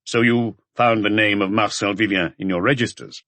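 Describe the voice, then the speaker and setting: Guy reading a calm detective chief, low dry voice, terse questions, crime audiobook. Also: normal speed, no echo, natural voice. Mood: calm, probing. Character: police chief, calm, probing male detective.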